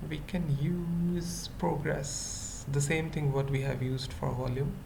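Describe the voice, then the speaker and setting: A coding tutorial presenter thinking aloud in short, halting fragments during an unscripted screencast. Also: medium pitch, gravelly. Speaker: web development tutorial presenter. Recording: noisy mic, keyboard typing. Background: computer keyboard typing.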